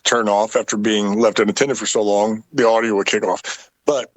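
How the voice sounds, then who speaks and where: A man's voice: lowish voice, steady tone, normal speed, no room sound. Easygoing chat from a mature man, dry sound. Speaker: podcast co-host. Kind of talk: casual chat.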